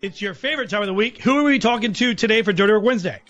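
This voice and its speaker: A male radio host with a high, forceful, bright voice. He questions in an upbeat, conversational way over a music bed. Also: very fast, dull audio.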